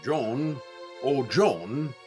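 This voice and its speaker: Vain, wounded radio comedian, slow and animated. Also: male voice, mid-range voice, faint music behind. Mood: mock romantic. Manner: deliberate, indignant comic delivery with dramatic pauses. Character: vain, hapless comedian desperate to prove his sex appeal. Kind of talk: 1930s radio comedy acting.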